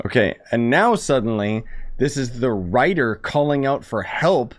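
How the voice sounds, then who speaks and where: A man's voice: mid-range voice, sing-song, a little slow. A man giving casual playthrough commentary as a gaming streamer, with dry joking asides.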